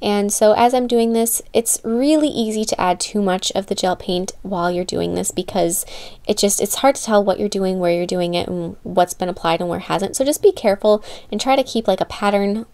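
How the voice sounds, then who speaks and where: Female tutorial host, medium pitch, informal and chatty step-by-step voice-over, voice a touch strained from losing it. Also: normal speed, a little mellow. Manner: chatty, informal, self-correcting. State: slightly losing her voice. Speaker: nail art tutorial host. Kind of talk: casual how-to voice-over narration.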